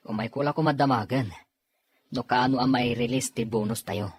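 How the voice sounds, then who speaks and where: A man playing a devoted, patient working husband in a radio drama, with a husky voice. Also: medium pitch, slow, no echo. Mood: apologetic.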